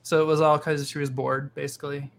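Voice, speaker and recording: Higher-voiced man hosting a panel. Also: little pitch movement, a little fast, gravelly. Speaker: interview host, show creator. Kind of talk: casual panel interview.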